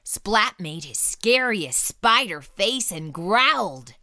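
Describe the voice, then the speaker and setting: A woman reading a children's book aloud as a storytime host, with expressive, dramatic storytelling. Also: mid-range voice, slow pace, dry recording, clean studio sound.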